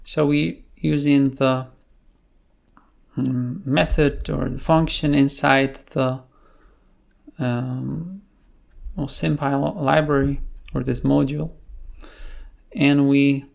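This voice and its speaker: A man with a creaky, gravelly voice presents a software tutorial. His delivery is slow and quite flat in pitch. He talks it through off the cuff, in short, halting phrases. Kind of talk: unscripted software tutorial narration.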